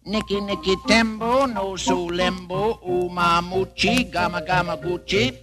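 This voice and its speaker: A fat, vain man squeaks out orders in a shrill, strained voice far above a normal man's register. His tone is pinched and odd, and he talks in clipped broken phrases. It is a storybook voice, bossy and self-admiring. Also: steady tone, a little slow, long reverb tail, loud music behind the voice. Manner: shrill broken speech, imperious. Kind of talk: storybook reading.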